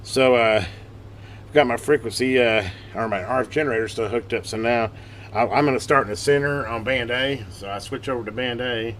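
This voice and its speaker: A man's voice: mid-range voice, fairly quick, fairly bright tone. A man hosting a hobby electronics repair channel, giving a casual hands-on tutorial in a rambling, folksy, self-correcting way. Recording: clearly noisy workbench recording. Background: clear background noise.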